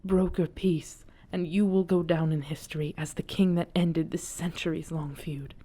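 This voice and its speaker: A woman's voice: low-pitched, fairly even intonation, normal speed, dark tone, slightly rough. A woman playing an earnest, devoted dragon princess and commander in an audio drama, speaking in solemn, formal phrases. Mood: pleading. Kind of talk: scripted audio roleplay drama.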